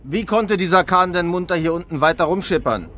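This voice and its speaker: An actor playing a thoughtful German investigator in a radio drama, dry and hesitant, often repeating words. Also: male voice, high-pitched, expressive, fairly quick, bright, forceful tone. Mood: businesslike. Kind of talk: radio drama dialogue and narration.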